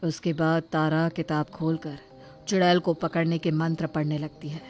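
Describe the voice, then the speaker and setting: Female narrator, low register, scripted bedtime-story storytelling over faint music. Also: level tone, normal speed, a little mellow.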